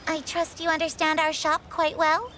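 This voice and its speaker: A courteous clerk in a fantasy game, talking smoothly and politely to win a customer over. His tone is easy and persuasive. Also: extremely high pitch, completely flat delivery, normal speed, pushed and bright, slight background noise. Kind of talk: video game voice acting.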